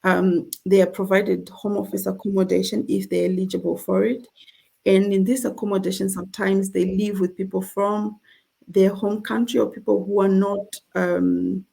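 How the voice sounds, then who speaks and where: A middle-aged woman from Zimbabwe leads an online conversation for a charity. Her voice is low and mellow with a gravelly edge. She speaks earnestly, with many fillers and restarts. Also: flat delivery, moderate pace. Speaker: charity representative and host.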